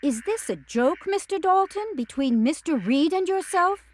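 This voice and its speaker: Actress doing a chatty housekeeper lady, high pitched, fussy and talky. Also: animated. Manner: chatty, then indignant and hurried. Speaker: cook and housekeeper. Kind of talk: radio drama acting.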